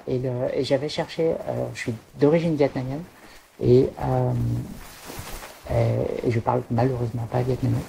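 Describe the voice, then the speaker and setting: A podcast panelist with a creaky, middle-pitched voice chats informally. The delivery is hesitant, circling back over words. There is faint noise and clicking in the recording. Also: dark tone, poor audio. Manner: hesitant, repeats words while searching for phrasing. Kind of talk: casual panel chat.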